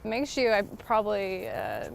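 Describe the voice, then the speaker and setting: A woman's voice: mid-range voice, sing-song, a little slow, gravelly. A young woman working as a sports reporter, asking players and coaches questions in a friendly, chatty way.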